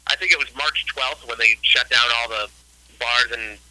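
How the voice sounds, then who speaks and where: Laid-back forty-something man, bright dry voice. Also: mid-range voice, moderate pace, no room sound, low-quality recording. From Ohio, US. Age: 40s. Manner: rambling, laid-back, many "you know"s. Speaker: guest musician. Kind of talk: casual interview chat.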